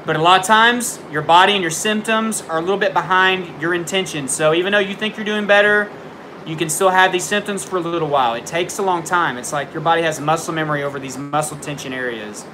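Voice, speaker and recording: A man's voice: a little high, fast talker, background noise. Frank young man on a humming stream. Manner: frank, tough-love advice with a lot of fillers; jumpy, startled by thunder. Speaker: livestream host answering viewer questions. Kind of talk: casual livestream chat. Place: indoors at home near a window during a storm.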